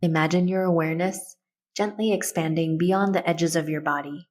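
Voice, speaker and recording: A woman leading a guided meditation in a soothing, gentle, instructional way. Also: fairly low pitch, fairly even intonation, moderate pace.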